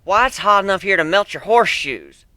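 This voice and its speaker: A man's voice: high voice, expressive, a little fast, pushed and bright, no echo, studio quality, voice pushed up. A man voicing a plain-spoken country female pony in a folksy, frank manner for a story reading. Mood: amazed.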